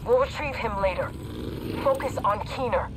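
A woman's voice: fairly high pitch, moderate pace, noisy background, lightly acted. A woman playing a ruthless, foul-mouthed mercenary commander in a video game, curt and aggressive. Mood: furious. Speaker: militia commander. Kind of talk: video game cutscene dialogue.

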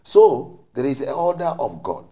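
A man's voice: medium pitch, big pitch swings, normal speed, mellow. A man, a bishop on a panel, explaining emphatically in short, preacherly phrases. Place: TV studio. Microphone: lapel mic.

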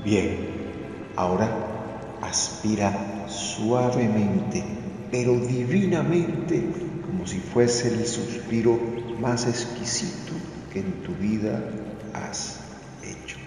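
A man guiding a meditation in a soothing, deliberate way, gently repeating phrases. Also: fairly low pitch, background noise. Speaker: meditation guide, video host. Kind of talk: slow guided meditation narration. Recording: cavernous long reverb over music. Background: music.

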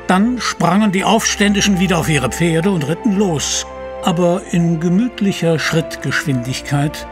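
A man narrating an adventure audio drama with dramatic storytelling delivery. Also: on the high side.

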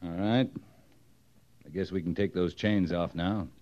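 Low-voiced, terse cavalry captain. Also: male voice, steady tone, unhurried, background noise. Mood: stern, firm. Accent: American. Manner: terse, dry, commanding. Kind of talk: 1950s radio western acting. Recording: dull old-time radio recording.

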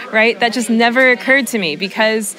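A woman's voice: on the high side, normal speed, a crowd in the background. A young woman, a teacher, talking casually in an interview with searching phrasing and academic vocabulary. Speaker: philosophy teacher being interviewed.

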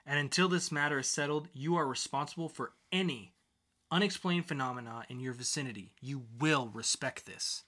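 A man's voice: medium pitch, brisk, bright, forceful tone. A man playing a stern, indignant courtroom judge, scolding and emphatic, in a dramatic comic book reading.

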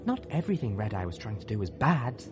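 A man's voice: fairly low pitch, very animated, fast, noisy background. A man voicing a poised, compassionate, determined female unicorn with a formal, ladylike manner. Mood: persuasive.

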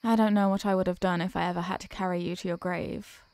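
A woman acting as a devoted, centuries-old vampire girlfriend in an intimate ASMR roleplay, speaking softly and formally in a caressing, protective way. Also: lowish voice, fairly even intonation, dry recording, natural voice. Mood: grateful, loving. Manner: soft, slow, formal, caressing delivery. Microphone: close microphone.

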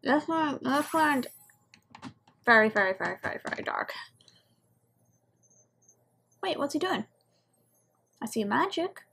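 A woman's voice: high-pitched, big pitch swings, moderate pace, vocal fry, a bit bright. A woman giving casual reaction commentary as she watches.

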